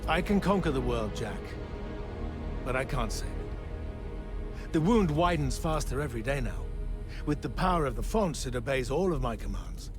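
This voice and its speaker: An actor playing a haughty, urbane tyrant in a fantasy video game, speaking in a theatrical, florid manner. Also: male voice, mid-range voice, expressive, moderate pace, pauses often, lightly acted. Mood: grave, persuasive. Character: haughty, urbane male tyrant villain with grand ambitions. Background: music.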